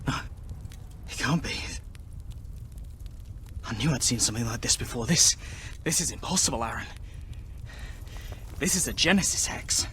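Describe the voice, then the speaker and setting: A man's voice: mid-range voice, animated, moderate pace, pauses often, pushed and bright, slight background noise. A man voicing an anxious, learned young scholar in a video game, speaking with earnest, dramatic delivery. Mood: alarmed, awed. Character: young male scholar, anxious and learned, reciting forbidden spells.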